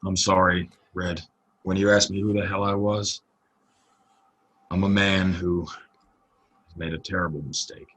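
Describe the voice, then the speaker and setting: Casting: male actor as haunted Old West drifter, very low husky voice, grave and measured, audio drama. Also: flat delivery, fairly bright tone. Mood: remorseful. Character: remorseful, vengeful wanted drifter of the Old West. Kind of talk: Western audio drama acting.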